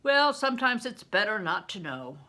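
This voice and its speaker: Storybook role: gruff, preachy man, played by an older woman, forceful and highly animated. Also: normal speed. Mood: musing. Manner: emphatic, commanding, preachy. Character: rough, gruff, opinionated big man, storybook mentor.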